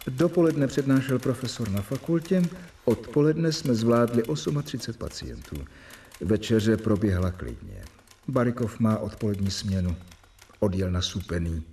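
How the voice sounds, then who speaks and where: A man's voice: fairly low pitch, sing-song, mellow, distant mic, slight background noise. A man playing a wary doctor who reports events in terse diary entries in a radio play. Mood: watchful.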